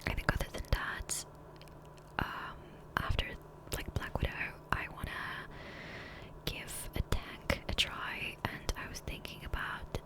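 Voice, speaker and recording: A woman speaking in a soft, breathy whisper close to the microphone, rambling casually and self-deprecatingly as an ASMR gaming creator. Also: slow pace, low-quality recording. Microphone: close USB condenser microphone.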